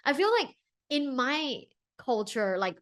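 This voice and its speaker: A woman from Thailand hosts a conversational podcast interview. Her voice sits fairly high and moves up and down with a very animated melody. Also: slow pace. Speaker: podcast host.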